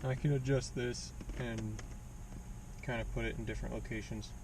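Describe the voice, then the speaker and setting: A man's voice: medium pitch, steady tone, normal speed, noisy background. A man giving a casual, plainspoken hands-on how-to, talking himself through each step as he works. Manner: plainspoken, muttering step by step while working. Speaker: DIY vehicle installation video host.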